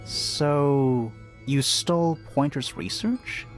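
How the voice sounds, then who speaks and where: A man voicing a curious, puzzled detective in a video game, questioning in an inquisitive, expressive way. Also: on the high side, drawn-out words, soft background music. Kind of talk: scripted game dialogue.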